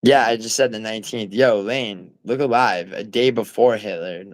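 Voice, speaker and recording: A man's voice: on the low side, normal speed, no room sound. A man from New City, chatting on a livestream in a rambling, profane way with lots of fillers and restarts. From New York City. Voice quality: nasal. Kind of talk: casual livestream chat, reading viewer comments.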